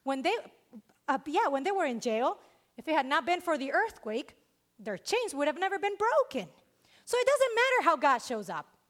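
A woman's voice: very high voice, fairly bright tone. A woman in her thirties with a Spanish accent, preaching a sermon earnestly and emphatically.

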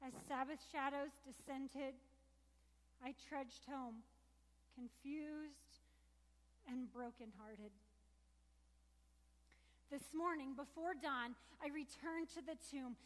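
A woman's voice: high voice, slow, pauses often. A woman performing a biblical disciple in a heartfelt, emotionally charged first-person monologue. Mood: grieving. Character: grieving devoted woman disciple, earnest and emotional. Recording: long echoing reverb of a large hall.

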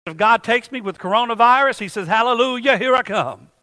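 High-voiced preacher in an echoing church. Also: male voice, expressive, fairly quick, sharp tone. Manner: call-and-response preaching, asks the congregation to finish lines. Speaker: pastor. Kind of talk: sermon. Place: church sanctuary.

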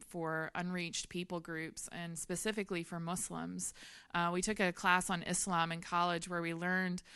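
A woman's voice: fairly low pitch, bright, forceful tone, clear, resonant tone, dry recording. A woman, sharing her missionary testimony with a congregation, earnest and laughing often. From Memphis, Tennessee. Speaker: missionary speaker.

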